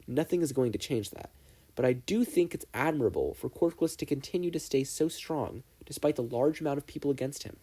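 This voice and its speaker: A man voicing a stern but proud teacher in a fan fiction story, lecturing in a measured, stoic way. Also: medium pitch, dark tone, husky, pitched above the performer's own voice, natural voice. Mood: stony, firm.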